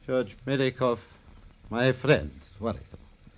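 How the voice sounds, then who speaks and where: An actor playing an anguished, unstable male ballet impresario, theatrical and halting, in an old-time radio drama. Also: mid-range voice, expressive, natural voice. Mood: weary, sorrowful. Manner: theatrical, halting, repetitive, formal phrasing.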